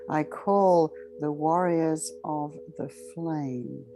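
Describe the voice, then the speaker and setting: A woman leading a guided meditation in a solemn, ceremonial way, speaking in short, measured phrases with long pauses. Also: low-pitched, steady tone, background noise.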